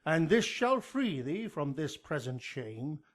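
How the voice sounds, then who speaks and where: Solemn, slow-speaking friar. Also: male voice, fairly high pitch, sing-song, exaggerated voice. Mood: solemn, urgent. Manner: measured, grave, deliberate. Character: solemn, fatherly friar counselling a young woman. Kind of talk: Shakespearean radio drama acting.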